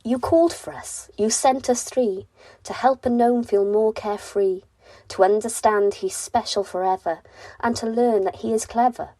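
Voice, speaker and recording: A woman's voice: a little high, fairly even intonation, normal speed, mellow, no room sound, close to an everyday voice, low-quality recording. A woman voicing a caring messenger bird that speaks in singsong rhyme in a children's storybook. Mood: bright, proud. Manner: singsong rhyming. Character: caring birds chirping rhymed messages. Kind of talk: children's bedtime storybook reading.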